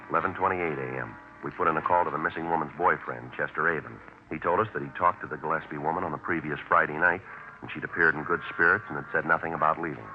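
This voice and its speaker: Very deep, deadpan police sergeant. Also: male voice. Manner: deadpan, clipped, terse. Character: businesslike male police sergeant, clipped, patient, matter-of-fact. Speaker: detective and narrator. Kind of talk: 1950s radio crime drama acting. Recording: muffled old radio recording, clear hiss.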